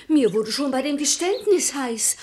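An actress playing a clever married lady who feigns reluctance, speaking verse in a coy, measured, theatrical way in a German radio play. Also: high-pitched, lively intonation, darkish tone. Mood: coy, flustered.

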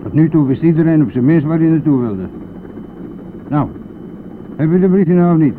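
An actor playing a gruff, impatient, talkative country bus driver in a radio play, brusque and easily exasperated. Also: male voice, on the high side, moderate pace, lightly acted. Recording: noisy, thin, phone-like old radio recording.

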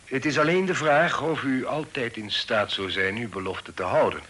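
An actor playing a secretive, authoritative middle-aged father in hiding in a period radio drama, speaking formally and deliberately. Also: medium pitch, a bit bright, close to an everyday voice. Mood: measured, courteous. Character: secretive, authoritative fugitive father, stern and shrewd. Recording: noisy old radio drama recording.

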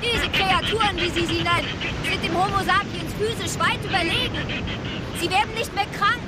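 An actress playing an idealistic, grieving researcher, earnest and reflective, in a radio drama. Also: female voice, very high-pitched, normal speed, very noisy. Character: grieving, idealistic female researcher on a remote island. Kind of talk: German radio drama acting. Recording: loud outdoor ambience over dialogue.

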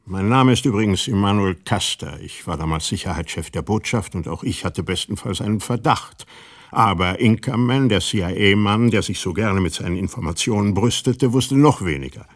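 An actor playing a world-weary former embassy security chief, narrating a radio drama in a measured, wry manner. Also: male voice, fairly low pitch. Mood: wry recollection.

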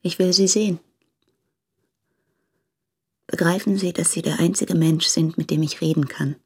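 A woman's voice: fairly low pitch, fairly even intonation, moderate pace, slightly rough. An actress playing an insistent young woman in love, pleading urgently and intimately in a radio play.

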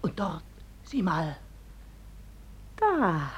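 An actress playing a sour, bossy older wife in a radio play, with a scornful way of talking. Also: female voice, fairly low pitch, huge pitch swings, drawn-out words, breathy edge, highs cut off, exaggerated voice. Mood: sour nagging.

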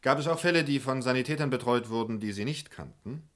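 A man's voice: mid-range voice, quick pace, close to an everyday voice. A man playing a formal, insistent courtroom lawyer who questions precisely, in a German radio crime play. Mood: formal, probing.

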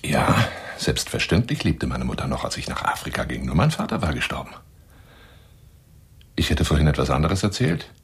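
A man's voice: extremely low pitch, very animated, normal speed, creaky, breathy edge, exaggerated voice. A man playing a boastful, touchy lion hunter in a radio play monologue, speaking in a wheedling, pompous manner. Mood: reminiscing, then defensive, indignant. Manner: wheedling, pompous, swinging into whispers. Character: boastful, touchy male lion hunter telling tall tales.

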